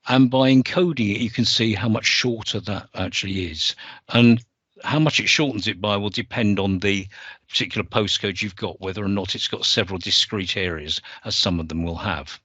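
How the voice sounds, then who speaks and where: A man from the UK presenting a software demo over a screen share, explaining step by step and correcting himself as he goes. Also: lowish voice, normal speed, slightly rough, no room sound, dull audio.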